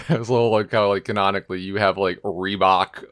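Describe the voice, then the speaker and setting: A man's voice: on the low side, fairly even intonation, fairly quick, a bit bright. A man co-hosting a podcast, chatting casually and hesitantly, saying "like" constantly. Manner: hesitant, heavy use of "like". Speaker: podcast co-host.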